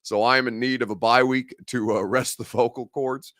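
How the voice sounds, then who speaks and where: A man hosting a live-stream sports talk show, hoarse and gravelly from a head cold, rambling and conversational as he reads viewer comments. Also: mid-range voice, fairly even intonation, moderate pace, a bit bright, dry recording, clean studio sound. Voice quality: gravelly, growly.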